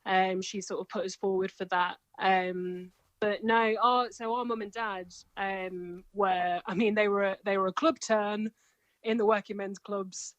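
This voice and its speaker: A woman's voice: medium pitch, steady tone, no room sound, lo-fi sound. A woman, a singer and comedian, chatting enthusiastically as an interview guest. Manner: enthusiastic, gushing, quick to laugh. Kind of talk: casual interview chat.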